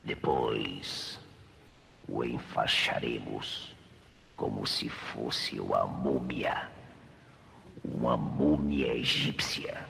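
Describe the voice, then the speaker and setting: Evil brazilian radio villain, super slow n menacing, harsh bright rasp, old echoey recording. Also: male voice, full character voice. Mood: cold scheming. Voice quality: very low gravelly rasp, often breathy. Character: sinister scheming male villain, a knife thrower gang boss. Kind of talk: old radio serial acting. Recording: reverberant old radio recording, faint noise.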